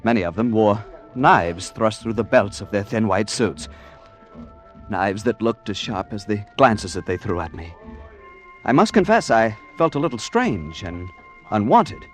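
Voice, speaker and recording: Prim nervous american tourist, stammery, lowish voice that swings around, old radio show with music. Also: male voice, animated, unhurried. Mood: uneasy, confiding. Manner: flustered, stammering, polite nervous chuckles. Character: fussy, timid, prim American male tourist narrating his adventure. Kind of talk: 1940s-50s American radio drama acting. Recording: vintage radio broadcast with music.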